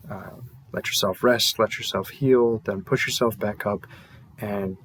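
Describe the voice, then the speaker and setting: A man's voice: lowish voice, steady tone, a little slow, a bit bright. A man who makes audio roleplays, talking casually and off the cuff to listeners in an earnest, hesitant way with trailing asides. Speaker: audio roleplay creator and performer. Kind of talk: casual, unscripted thank-you to listeners.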